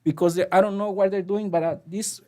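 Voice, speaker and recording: A man with a Spanish accent, a native Spanish speaker, speaking earnestly and haltingly during public comment at a city council meeting. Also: sits high, steady tone, dark tone. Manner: earnest, halting, with frequent restarts. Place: council chamber.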